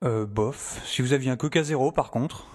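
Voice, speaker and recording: An actor playing a flippant professor and explorer in an audio drama, wry and informal in narration and dialogue. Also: male voice, mid-range voice, normal speed, no room sound, clean studio sound, lightly acted. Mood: hesitant, flippant. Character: flippant, hesitant male professor and explorer narrating his adventure.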